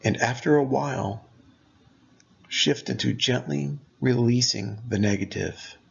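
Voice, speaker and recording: Male meditation narrator, mid-pitched and creaky, gentle deliberate delivery, slightly dull recorded sound. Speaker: meditation guide.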